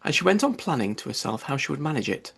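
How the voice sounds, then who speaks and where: A man reading a storybook aloud as an audiobook narrator, with expressive delivery. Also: mid-range voice, quick pace, gravelly, slightly rough.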